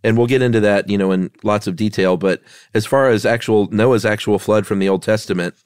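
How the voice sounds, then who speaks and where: A man's voice: fairly low pitch, fairly even intonation, a little fast, darkish tone, clear, resonant tone, no echo, clean studio sound. A man co-hosting a podcast, stuffy from a head cold, talking in an easygoing, self-deprecating way. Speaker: podcast co-host. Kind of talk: casual chat.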